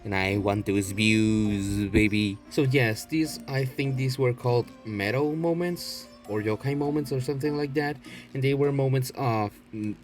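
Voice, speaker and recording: A man's voice: medium pitch, measured pace, soft background music. A man giving an unscripted, casual show-and-tell as a video blogger, rambling and prone to swearing. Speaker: video blogger showing a toy collection.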